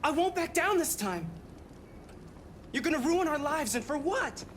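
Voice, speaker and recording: A man playing a hot-headed, fearful young son in a cinematic video game, agitated and forceful. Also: very high voice, sing-song, a little slow, a little hiss or hum, exaggerated voice. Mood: angry, frightened. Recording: dramatic score under the voice.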